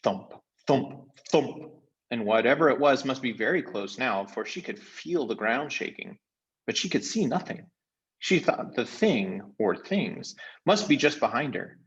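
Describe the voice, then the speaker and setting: A man reading a storybook aloud as an expressive narrator. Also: medium pitch, moderate pace, breathy edge, lo-fi sound.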